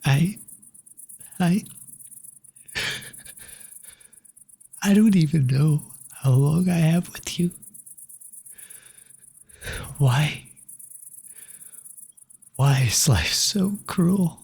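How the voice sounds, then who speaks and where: Casting: male actor for lonely, tender orphan in ASMR roleplay; very husky, near-whispered delivery, slow and intimate, heavy with grief. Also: on the high side, animated, a little mellow, heavily acted. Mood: anguished, pleading. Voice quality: breathy, often dropping into whisper. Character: grieving, tender lonely orphan comforting a sick pet cat. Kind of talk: soft ASMR roleplay acting.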